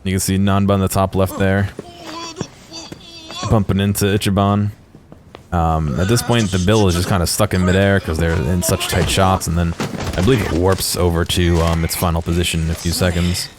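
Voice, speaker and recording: A man giving casual, unscripted video game commentary in a halting way, as if thinking aloud. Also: sits low, flat delivery, background noise. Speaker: host of a game exploration video. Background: music behind the voice.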